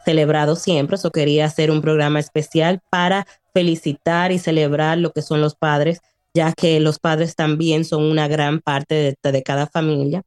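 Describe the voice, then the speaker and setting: A woman in her late thirties on a radio show, explaining taxes and chatting. She hesitates often, fills pauses and drops English words into her speech. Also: low voice, flat delivery, a little mellow, dry recording, studio quality. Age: 30s. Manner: hesitant, many fillers, mixes in English words. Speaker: tax service guest on radio. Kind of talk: informational radio chat. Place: radio studio.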